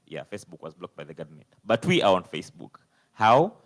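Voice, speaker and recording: A young man from Uganda with a very deep, low voice. He asks his question from the audience hesitantly, pausing on fillers and restarting his sentences. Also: sing-song. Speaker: audience member asking a question. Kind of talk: conference floor question.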